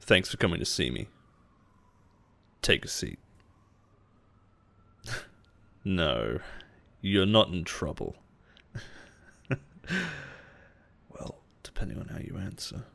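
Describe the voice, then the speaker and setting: An actor playing a possessive male boss in an intimate roleplay audio drama, coaxing and quietly menacing. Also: low-pitched, sing-song, slow, creaky, long pauses, exaggerated voice. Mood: coaxing, friendly. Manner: soft-spoken coaxing, chuckles and sighs, quietly menacing.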